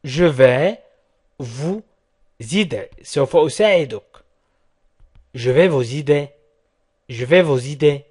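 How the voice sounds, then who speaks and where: Slow, husky man teaching language phrases. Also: mid-range voice, animated, darkish tone. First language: Arabic. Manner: deliberate, word-by-word enunciation. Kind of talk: slow repeated phrase drills with translations.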